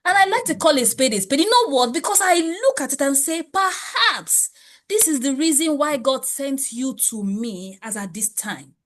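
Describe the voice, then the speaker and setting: A woman teaching a wealth class with a fairly high voice. She speaks emphatically and preaches with many rhetorical questions. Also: expressive, moderate pace, fairly bright tone. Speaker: wealth coach. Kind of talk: motivational class lecture.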